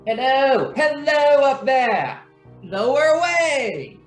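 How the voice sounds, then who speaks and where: An actor playing a deferential manservant in an old-time radio drama, formal and drawn-out in his speech. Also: very high voice, sing-song, roomy sound, soft background music, exaggerated voice, low-quality recording. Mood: calling out. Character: deferential male servant, hailing loudly and slowly.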